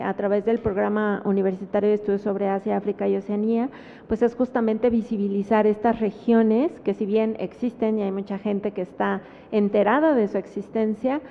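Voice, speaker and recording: A woman with a Mexican Spanish accent gives an academic talk at a book presentation, pausing often and adding tag questions. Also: mid-range voice, slight background noise. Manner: thoughtful, with frequent fillers and tag questions. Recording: phone-like sound with long hall reverb.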